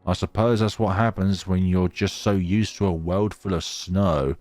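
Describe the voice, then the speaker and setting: A man's voice: low-pitched, fairly even intonation, moderate pace, a little mellow, no echo, close to an everyday voice. A man playing an earnest, awkward young traveler in a visual novel, chatty, teasing and self-deprecating. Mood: eager, awkward.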